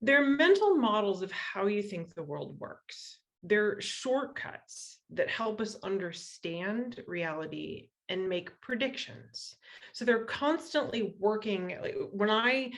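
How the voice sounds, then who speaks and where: A woman's voice: medium pitch, expressive, takes it slowly, fairly bright tone. A woman from western Iowa with an American accent gives an explanatory public talk as a guest lecturer, conversational and checking in with rhetorical questions. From western Iowa, United States.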